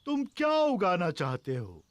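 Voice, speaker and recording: A slow, swooping kindly grandpa pig. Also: male voice, high voice, huge pitch swings, no room sound, full character voice. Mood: patient, encouraging. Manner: patient, encouraging. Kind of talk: children's cartoon dub in Hindi.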